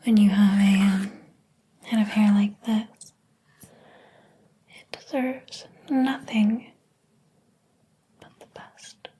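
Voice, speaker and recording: A woman playing a possessive, jealous hairdresser in a whispered ASMR roleplay, speaking softly in a slow, deliberate, controlling manner. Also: mid-range voice, steady tone. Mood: stern, controlling. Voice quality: soft, breathy whisper.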